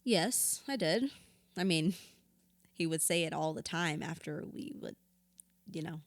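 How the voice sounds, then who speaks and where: Low-voiced grieving widow. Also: female voice, animated, a little slow, no room sound. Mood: pained, hesitant. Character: wounded, candid grieving widow in therapy. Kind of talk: audio drama acting, conversational.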